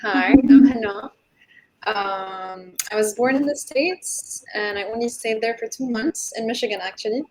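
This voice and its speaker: A woman's voice: a little high, a little slow, darkish tone, rough recording. A woman in her twenties who grew up in Lebanon, introducing herself casually with fillers as a podcast guest. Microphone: video call.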